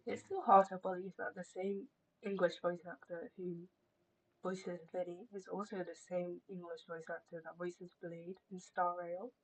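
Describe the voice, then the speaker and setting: A woman game streamer with a husky voice, chatting casually in live stream commentary. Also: on the low side, little pitch movement, moderate pace, poor audio. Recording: stream with music behind.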